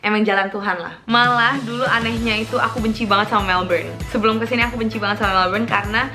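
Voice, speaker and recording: A woman's voice: medium pitch, steady tone, fast talker, over music. A young woman from Indonesia answering viewer questions in a casual vlog, chatty and mixing English into her talk, laughing often. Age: young adult. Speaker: vlogger.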